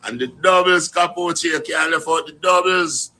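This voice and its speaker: A man with a Jamaican accent giving relaxed, rolling shout-outs to fans in casual patois on a live stream. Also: fairly high pitch, normal speed.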